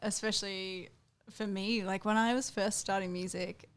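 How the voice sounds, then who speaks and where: Australian woman, 30s, musician guest, medium pitch, chatty, filler-heavy. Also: fairly even intonation, moderate pace, clear, resonant tone. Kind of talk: casual chat.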